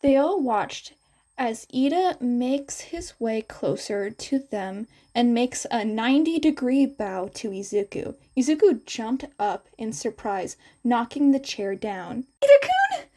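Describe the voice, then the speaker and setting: A woman's voice: fairly high pitch, animated, slow pace, dark tone. A woman reading a story aloud as scripted narration. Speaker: podfic narrator.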